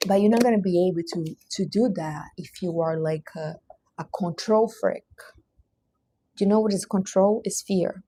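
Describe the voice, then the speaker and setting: A woman's voice: fairly low pitch, normal speed, dark tone, slight background noise. Earnest Portuguese-accented woman streaming live. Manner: earnest, emphatic, non-native grammar. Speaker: livestream host. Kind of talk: motivational livestream talk.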